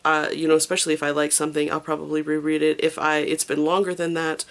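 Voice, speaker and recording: A manga review vlogger explaining their views to camera, casual and thoughtful, pausing often with fillers and stressing pet peeves. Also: medium pitch, completely flat delivery, fairly bright tone.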